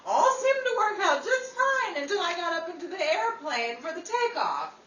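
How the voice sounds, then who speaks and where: A woman's voice: extremely high pitch, very animated, moderate pace, bright, forceful tone, full character voice. A woman playing a bossy, scatterbrained 1930s newswoman in a comedic radio play, brash, exclamatory and wisecracking. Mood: exasperated. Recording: roomy distant mic, noisy audience hall.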